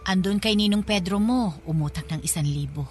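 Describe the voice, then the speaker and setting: A woman's voice: mid-range voice, fairly quick, faint music behind, natural voice. A woman playing a loving, insistent middle-aged mother with a Filipino accent, coaxing her child in a radio drama. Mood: fond, insistent.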